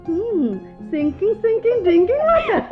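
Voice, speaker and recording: An actress playing a spirited, sharp-tongued young woman student in a Bengali radio drama, taunting and animated. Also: very high-pitched, brisk. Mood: teasing. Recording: tinny phone-like radio drama audio, music behind.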